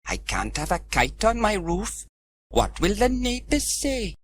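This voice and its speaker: A man puts on a gossipy middle-aged woman shopkeeper, fussy and clucking. The words are stretched out slowly in a raised, indignant pitch for a children's storybook. Faint old tape noise runs underneath. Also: huge pitch swings, fairly bright tone, exaggerated voice.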